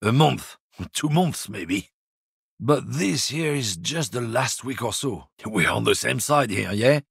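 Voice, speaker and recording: A smug, bigoted lorry driver in a video game, gravelly and husky. His pitch swings up and down a lot as he confides and lectures. The studio recording is dry and close. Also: lively intonation, slow, a bit bright, no echo, heavily acted. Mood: evasive. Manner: smug, conspiratorial, chuckling.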